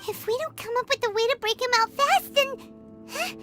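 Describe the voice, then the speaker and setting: A woman voicing a tiny, excitable fairy-like companion in a video game dub, chattering in quick exclamations. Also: extremely high pitch, soft background music. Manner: refers to herself in the third person, quick exclamations.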